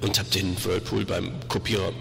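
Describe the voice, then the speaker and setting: An actor playing a grandiose agency boss in an audio drama, giving a pompous motivational speech with dramatic pauses. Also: male voice, sits low, level tone, creaky. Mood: grandiose, enthusiastic. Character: grandiose male agency boss preaching modern work visions. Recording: long hall reverb, loud music behind.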